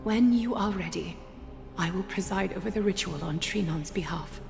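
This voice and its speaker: A woman's voice: on the low side, moderate pace, creaky, big-room sound, music in the background. A woman playing a solemn priestess in a video game, speaking in stately, formal, incantatory phrases. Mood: solemn, reverent. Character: solemn female priestess presiding over a sacred warrior ritual.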